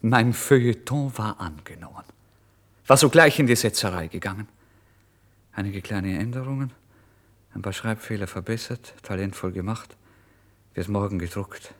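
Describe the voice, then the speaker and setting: A man's voice: on the low side, moderate pace, pauses often. An actor playing a penniless, emotional writer who recounts his misery in a theatrical, intense German radio drama reading. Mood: ecstatic. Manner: theatrical, intense, swinging between hushed and exclamatory. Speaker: first-person narrator.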